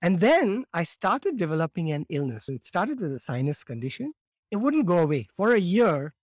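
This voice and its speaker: A man in his fifties, raised in India, telling his story as a podcast guest in a lively way, often acting out quotes and repeating words as he starts. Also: sits high, normal speed, no room sound, clean studio sound. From born in Morocco, raised in India. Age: 50s. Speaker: guest, laughter yoga teacher.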